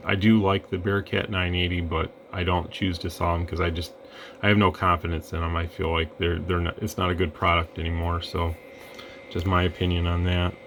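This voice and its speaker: A man testing radio gear in a relaxed, rambling way, breaking into mic checks and counting. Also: low-pitched, slight background noise. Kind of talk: casual product demo.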